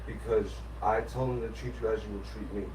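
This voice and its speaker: A man's voice: on the low side, steady tone, fast talker, far from the mic. A man in casual podcast chat, explaining his AI system in an emphatic, insistent way, often restarting his sentences. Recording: roomy, off-mic, faint background noise.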